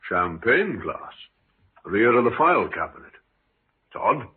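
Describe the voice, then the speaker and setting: An actor playing a measured, courteous, shrewd police inspector in an old radio drama, understated and authoritative. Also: male voice, medium pitch, big pitch swings, breathy edge, heavily acted. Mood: composed. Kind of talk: 1950s radio drama acting. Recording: tinny old radio, faint hiss.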